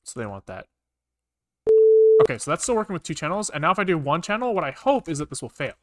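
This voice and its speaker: A man's voice: on the high side, sing-song, quick pace, no room sound. A man thinking aloud as he programs on a livestream, muttering code in fragments and swearing casually, close to a desk microphone.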